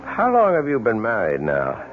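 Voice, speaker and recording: An actor playing a polite, guarded New York police lieutenant in a radio mystery, questioning in a measured way. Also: male voice, fairly low pitch, wildly swooping pitch. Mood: probing. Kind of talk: old-time radio mystery acting. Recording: dull, noisy old radio recording.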